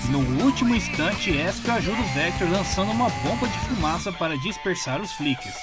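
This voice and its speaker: Male narrator, Brazilian accent, high register, scripted story recap, delivered over a prominent music bed. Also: lively intonation, brisk. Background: clear background music.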